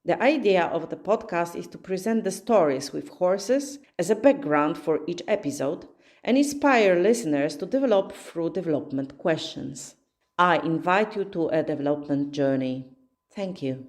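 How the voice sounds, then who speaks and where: A woman hosting a podcast, opening with a scripted intro and then interviewing her guest at a slow, measured pace. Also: on the low side, animated, a little mellow. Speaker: podcast host and coach.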